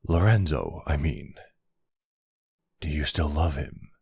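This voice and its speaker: A man playing a gentle older mafia man and devoted partner, speaking softly and reassuringly in an intimate roleplay. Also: very deep voice, lively intonation, takes it slowly, vocal fry, slightly rough, dry recording, clean studio sound, full character voice. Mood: soothing, vulnerable. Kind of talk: intimate ASMR boyfriend roleplay.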